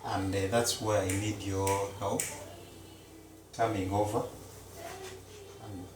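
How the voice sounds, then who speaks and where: A man, a Luganda speaker, chatting casually to viewers as he cooks in a home kitchen, slow and repetitive. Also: lowish voice, little pitch movement, echoey room, recorded from a distance, noisy background. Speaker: cooking vlogger. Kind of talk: casual live-stream chat.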